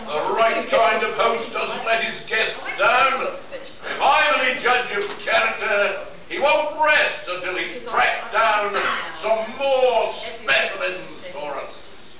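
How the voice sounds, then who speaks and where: A man acts out a jaded young space adventurer in a staged science fiction radio play. He grumbles and declaims slowly in a very high register. The sound is distant and heavily echoed, with some audience murmur behind. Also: expressive, crowd noise. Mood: cynical, dismissive. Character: weary, cynical young male botanist-adventurer in space. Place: live performance in a convention room.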